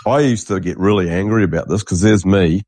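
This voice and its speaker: A man's voice: low voice, fairly quick, darkish tone, dry recording. A man, congested, rambling through a solo podcast monologue and restarting his sentences. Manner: rambling, swears, trails off and restarts. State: congested, stuffy nose. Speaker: podcast host.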